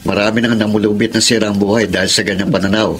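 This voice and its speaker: A man's voice: on the low side, steady tone, fast, close to an everyday voice. A man with a Filipino accent acting a frank, concerned friend in a radio drama. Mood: admonishing. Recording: clear background noise and ambience.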